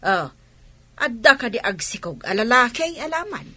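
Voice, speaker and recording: A woman's voice: fairly high pitch, very animated, normal speed, sharp tone, full character voice. A woman playing a nagging, forthright mother of a grown daughter in an Ilocano radio drama. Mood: scolding.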